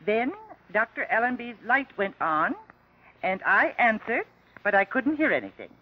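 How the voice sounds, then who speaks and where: A flustered earnest witness lady, animated pitch, husky, muffled old radio drama. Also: moderate pace. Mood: earnest, unsure. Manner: hesitant, flustered. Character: nervous, earnest female switchboard operator on the witness stand. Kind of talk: vintage radio drama acting. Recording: dull vintage radio broadcast recording.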